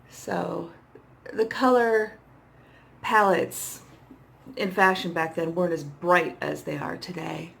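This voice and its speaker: Hoarse lady doing casual makeup chat, lowish creaky voice, bit of room noise behind her. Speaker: makeup vlogger. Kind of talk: casual makeup tutorial chat, talking to herself while working. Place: small indoor setup with dark backdrop.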